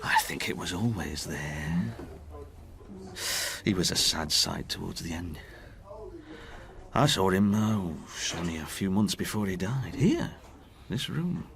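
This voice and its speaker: An actor in a radio drama playing a candid working man who haltingly recalls a friend's decline. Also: low-pitched, lively intonation, a crowd in the background, heavily acted. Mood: haunted, grave. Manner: halting, plain-spoken, reflective.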